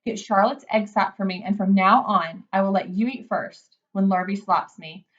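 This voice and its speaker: A woman's voice: medium pitch, normal speed, highs cut off, close to an everyday voice. A woman voicing an earnest, desperate young male pig from a children's storybook, pleading and urgent. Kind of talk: children's storybook character reading.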